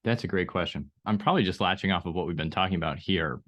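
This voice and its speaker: A man's voice: sits low, fast talker, fairly bright tone. A man from Austria, a startup CEO guest, talking thoughtfully in a casual podcast conversation, with frequent restarts. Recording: slightly dull lo-fi audio.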